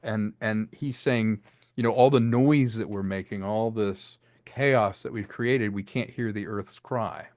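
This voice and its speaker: Director guest, a man with a lowish voice, animated, breaks into character voices, dry room. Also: unhurried, no room sound. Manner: animated, acts out lines while explaining. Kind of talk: casual interview talk.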